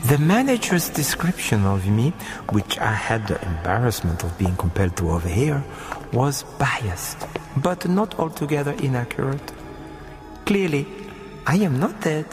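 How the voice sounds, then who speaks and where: An actor playing a sinister, leisurely murderer in a radio horror drama, speaking formally and precisely with mocking courtesy, with music under the voice. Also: male voice, medium pitch, sing-song, measured pace, long reverb tail. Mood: smug, menacing. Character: suave, sinister male murderer, icily polite and leisurely.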